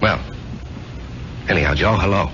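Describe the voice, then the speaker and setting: An actor playing an insecure, earnest salesman husband in a 1970s radio drama, speaking haltingly. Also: low voice, pushed and bright. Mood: tentative. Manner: hesitant, stammering, earnest. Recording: noisy, muffled 1970s radio recording.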